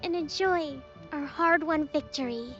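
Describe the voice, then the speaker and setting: A woman voicing a gentle, polite young female leader and strategist in an anime video game, soft and girlish. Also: sits high. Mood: earnest, formal. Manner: polite, measured, earnest. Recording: muffled game audio over music.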